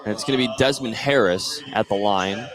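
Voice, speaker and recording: A man's voice: lowish voice, a little fast, crowd noise. A man calling a basketball game live as the play-by-play announcer on a radio stream.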